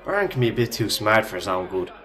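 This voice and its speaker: A man's voice: lowish voice, sing-song, fast, a bit bright. Uneasy man in a noisy pub. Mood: reserved. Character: cautious, worried Irish man among drinking mates. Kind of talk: radio play acting in colloquial dialogue. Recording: noisy pub crowd behind.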